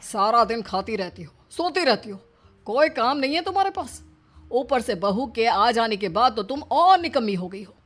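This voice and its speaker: A woman's voice: a little high, expressive, moderate pace, a bit bright. Woman voicing a scornful old father-in-law. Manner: scolding, contemptuous. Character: harsh bullying older male father-in-law. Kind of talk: cartoon dubbing. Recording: faint background music.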